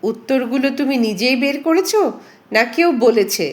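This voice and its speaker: A woman's voice: high-pitched, expressive, moderate pace, pitched above the performer's own voice. A woman voicing a demanding king who gives testing commands in a folk tale. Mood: probing.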